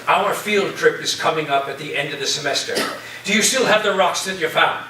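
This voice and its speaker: A man's voice: on the high side, brisk, bright, forceful tone. A man playing an eccentric, commanding professor who speaks formally and theatrically, like a captain addressing his crew, in a staged reading. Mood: commanding, earnest. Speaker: actor in a staged play reading. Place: live staged reading in a reverberant room. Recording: echoey room, distant mic, faint noise.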